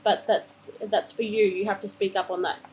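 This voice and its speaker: Gentle woman giving a tarot reading. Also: medium pitch, flat delivery, normal speed, a little hiss or hum. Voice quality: gentle, soothing, calls listener honey. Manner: meandering, many fillers and restarts. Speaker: tarot card reader. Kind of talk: live-streamed card reading to a viewer.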